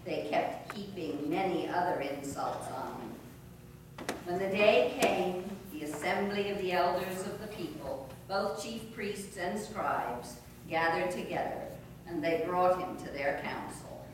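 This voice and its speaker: Female narrator, deliberate scripture reading, unhurried pace, distant church recording with noise. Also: fairly low pitch. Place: church during a service. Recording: very echoey church, distant mic, noisy.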